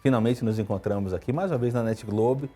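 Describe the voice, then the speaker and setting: Fast-talking Brazilian man, husky voice. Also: soft background music. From Brazil (Salvador, Bahia). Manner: quick, storytelling, lively pitch swings. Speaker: interview guest, IT executive. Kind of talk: conversational interview answers.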